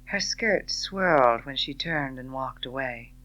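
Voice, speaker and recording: A woman reading a novel as an audiobook, narrating in an expressive, theatrical way. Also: extremely low pitch, unhurried, highs cut off. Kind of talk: scripted dramatic novel narration.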